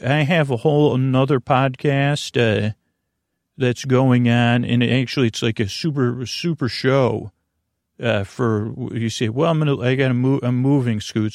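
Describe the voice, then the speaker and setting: A man hosting a bedtime podcast in a creaky, lulling voice, meandering gently through halting, self-interrupting tangents. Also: mid-range voice, no echo, clean studio sound. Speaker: podcast host. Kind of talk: rambling, soothing bedtime monologue.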